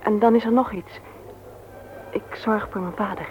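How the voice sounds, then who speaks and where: A woman's voice: medium pitch, steady tone, moderate pace, lightly acted. An actress playing a flirtatious, forthright widowed mother in a radio play, with a teasing, arch manner. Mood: wistful. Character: flirtatious, frank widowed mother of two on a first date. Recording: dull old radio recording with noise.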